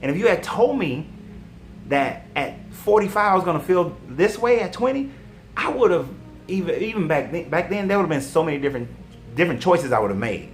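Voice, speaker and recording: A man in his forties delivers a preachy motivational talk, addressing viewers directly. His voice sits a little high and swings widely in pitch as he drives each point home. Soft music plays faintly underneath. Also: big pitch swings, a little fast. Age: 40s. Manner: preachy and emphatic, talks straight to viewers. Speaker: online commentator and life coach. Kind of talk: motivational monologue.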